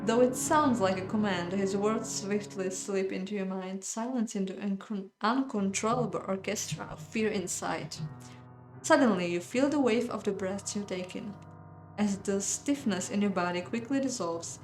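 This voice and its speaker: A woman with a mid-range voice reads a visual novel aloud as host of a playthrough, mixing measured dramatic narration with casual commentary. The room gives her voice a clear echo, and music plays behind her. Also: medium pitch.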